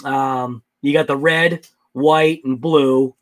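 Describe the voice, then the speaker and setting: A man's voice: mid-range voice, steady tone, slow pace. A man hosting a casual late-night livestream about RC cars, thinking aloud and answering live chat. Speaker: RC hobby channel host.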